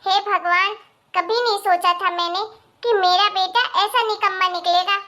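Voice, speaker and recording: A woman plays a domineering mother-in-law in a cartoon family drama. The voice is extremely high and piercingly bright, with a sarcastic, accusing edge and a sharp tongue. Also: steady tone, moderate pace. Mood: angry taunting. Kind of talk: animated family melodrama acting.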